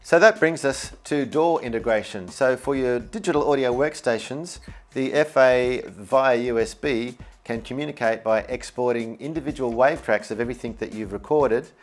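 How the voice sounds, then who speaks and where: A man's voice: medium pitch, normal speed. Upbeat man demonstrating gear, music behind. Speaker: product demonstrator. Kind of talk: enthusiastic instructional product demo. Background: keyboard music.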